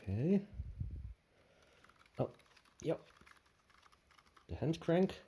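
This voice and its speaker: A man's voice: fairly high pitch, very animated, drawn-out words, dark tone, a little hiss or hum. A man thinking aloud in short, tentative fragments as he gives casual unboxing commentary indoors. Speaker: product reviewer. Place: indoor room with tiled floor.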